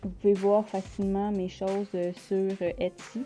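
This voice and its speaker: A woman with a Quebec French accent talks casually about her artwork, pausing often to find the right word. Her voice is very dark and mellow. Her pitch sits in an ordinary middle range. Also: flat delivery, faint music behind. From Quebec, Canada. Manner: hesitant, searching for words. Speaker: art channel host. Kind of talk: casual unscripted vlog talk.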